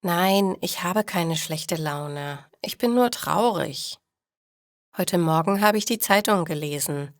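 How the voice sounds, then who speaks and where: A woman's voice: on the low side, takes it slowly, no room sound, studio quality. A woman voicing an earnest, downcast, brooding woman in a storybook. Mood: sad. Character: melancholy, brooding woman, usually talkative.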